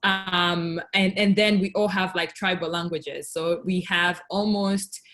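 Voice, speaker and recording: A woman from Tanzania with Swahili as her first language hosts an informal group video call. Her voice sits a little low, and she talks in a loose, halting, conversational way with plenty of "like". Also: steady tone, a bit bright. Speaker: host of a virtual cultural session.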